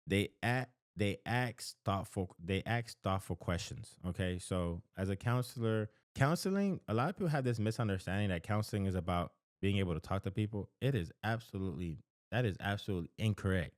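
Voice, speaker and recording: A man's voice: on the low side, expressive, fairly quick, no echo, studio quality. A man from the American South with an American accent talks as a counselor giving advice, conversational and emphatic, repeating key phrases and often checking in with the listener. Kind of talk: direct-to-camera advice talk.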